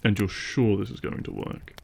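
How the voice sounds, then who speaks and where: A man's voice: fairly low pitch, fairly even intonation, normal speed, gravelly, a little mellow, breathy edge, heavily acted. A man playing a brooding, terse vampire hunter and reluctant ally in a fantasy audio drama, sounding sceptical. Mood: sceptical, stern. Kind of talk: fantasy audio roleplay acting.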